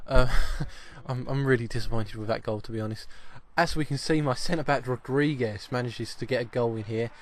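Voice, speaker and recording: A man, slightly croaky with a cough, giving chatty football video game commentary and often repeating his first words. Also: medium pitch, a little fast, few pauses, slight background noise. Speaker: gaming channel host.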